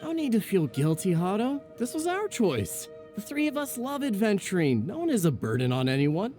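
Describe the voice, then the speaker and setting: A man's voice: sits high, wildly swooping pitch, normal speed, dark tone. A man playing a kindly, proud, brainy adventurer in a video game, with an earnest, reassuring delivery. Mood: reassuring, warm. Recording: music playing behind the voice.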